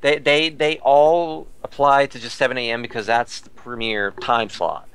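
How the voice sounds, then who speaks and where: A man's voice: medium pitch, little pitch movement, moderate pace, a bit bright, clear, resonant tone. A man talking casually as a podcast panelist, explaining things in a detailed, digressive way. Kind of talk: casual discussion, reporting figures.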